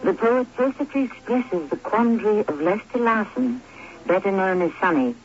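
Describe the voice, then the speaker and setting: An actor as the suave host of a radio mystery, narrating in a dramatic, literary, deliberate way on an old broadcast. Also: male voice, high voice, animated, dull audio.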